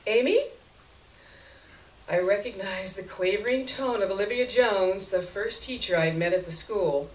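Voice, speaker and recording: A woman reading literary fiction aloud at a live event, expressively and with wry, deliberate phrasing. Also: fairly low pitch, a little hiss or hum. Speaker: story reader. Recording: echoey room, miked from a distance.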